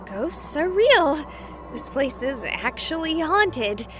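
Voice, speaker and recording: A woman's voice: sits high, sing-song, slow, noisy background, heavily acted. An actress voicing a young woman streamer, skeptical and sarcastic but rattled by a haunting. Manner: sarcastic, flustered. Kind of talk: visual novel voice acting.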